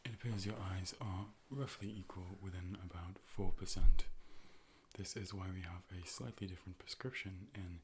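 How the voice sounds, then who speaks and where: A man speaking in a soft, measured, often whispered ASMR style, playing a calm and polite optician in a close personal-attention role play. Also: sits low, a little hiss or hum. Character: calm, polite robot A.I. optician conducting an eye exam. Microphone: close ear-to-ear stereo microphone.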